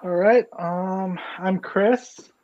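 Easygoing higher-voiced man. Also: extremely slow, dull audio. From Hawaii. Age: 30s. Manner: easygoing, rambling with fillers. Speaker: podcast co-host. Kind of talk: casual podcast chat.

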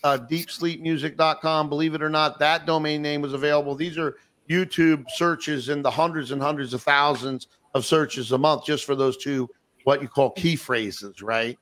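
A man's voice: fairly high pitch, steady tone, normal speed, fairly bright tone. A man hosting an online talk show, speaking with enthusiasm and rambling through many fillers and restarts. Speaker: podcast host. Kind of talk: casual online show chat.

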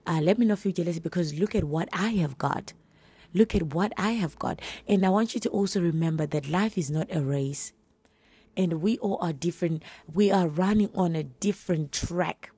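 A woman with a hoarse, husky voice from a flu, giving earnest, encouraging faith-based advice straight to her listeners. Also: fairly low pitch, mellow, no room sound. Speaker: podcast host.

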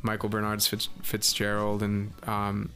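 Breathy young man, chatty. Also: on the low side, monotone, unhurried, pushed and bright, soft background music. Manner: chatty, filler-heavy storytelling. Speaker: guest musician. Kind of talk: casual podcast chat.

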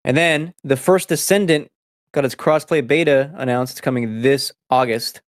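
A man who grew up speaking Spanish first, hosting a casual podcast and rattling off news items in quick succession. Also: mid-range voice, no echo, clean studio sound. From United States. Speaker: podcast host.

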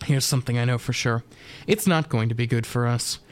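A man narrating a scripted first-person dark comedy radio play in a deadpan, wry manner. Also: mid-range voice, a little fast. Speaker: narrator and performer.

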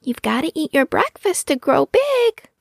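A woman's voice: sits high, very animated, measured pace, gravelly, dry recording, clean studio sound, voice pushed up, heavily acted. A woman voicing a patient mother owl in a storybook, coaxing and instructive in manner.

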